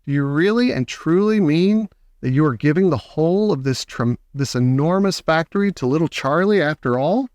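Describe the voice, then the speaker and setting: Storybook grandfather, man reader performing: old, excitable, flustered, voice sitting high. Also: moderate pace, no echo, studio quality, close to an everyday voice. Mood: incredulous, flustered. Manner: exclamatory, flustered.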